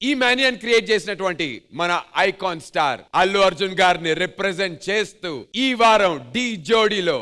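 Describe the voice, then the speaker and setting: A man hosting a TV dance show on stage, announcing in an emphatic, theatrical, high-energy style. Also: brisk, hardly any pauses, clean tone. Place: TV studio stage. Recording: loud music behind the voice.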